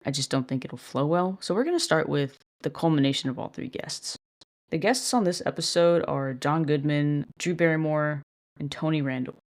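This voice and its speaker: A woman hosting her own podcast in casual solo commentary, talking in a chatty, self-deprecating way with a deliberately monotone delivery and some mild swearing. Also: low-pitched. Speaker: podcast host.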